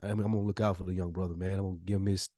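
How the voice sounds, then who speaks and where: A man's voice: deep voice, little pitch movement, very fast, warm tone, dry recording, clean studio sound. A man from the United States talking as a podcast host in casual, opinionated chat, slangy and emphatic in his delivery.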